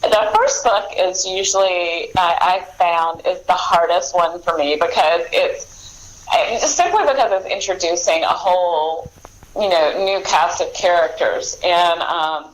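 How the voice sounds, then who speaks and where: American lady author chatting in an interview, kinda low voice, rambles a bit. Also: unhurried, poor audio. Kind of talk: casual interview chat.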